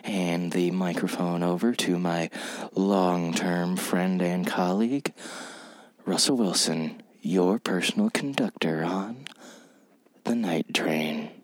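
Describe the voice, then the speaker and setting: An actor playing a courteous radio show host delivering a sign-off. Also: male voice, sits low, takes it slowly, dry recording. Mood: polite.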